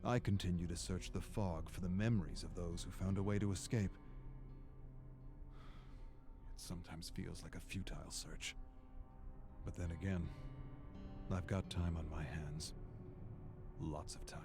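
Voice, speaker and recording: An actor playing a weary, scholarly archivist of a dark otherworld, reading journal entries for a game in a measured, brooding way. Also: male voice, deep voice, fairly even intonation. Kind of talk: scripted dramatic narration, journal entries. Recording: music behind the voice. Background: music.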